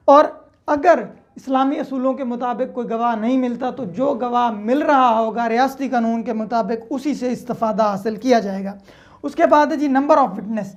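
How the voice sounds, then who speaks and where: A woman's voice: a little high, a little mellow, dull audio. A woman with a Pakistani accent giving an explanatory lecture, methodically repeating key points and mixing in English legal terms. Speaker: law lecturer. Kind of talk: explanatory lecture with everyday examples.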